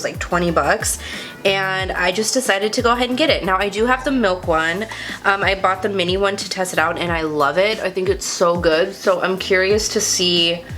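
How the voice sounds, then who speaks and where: Chatty, congested young woman, low voice. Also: a bit bright. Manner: chatty, confiding, self-deprecating. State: congested, sniffly. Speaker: beauty vlogger. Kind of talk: casual makeup tutorial chat.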